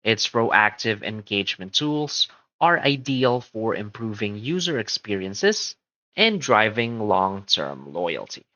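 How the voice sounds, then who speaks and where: A man presents a scripted explainer in a bright, forward voice. He reads slowly, in short, carefully enunciated phrases. The sound is close and dry, with no room around it. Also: fairly low pitch, very animated, dry recording, rough recording. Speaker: presenter of a software comparison tutorial.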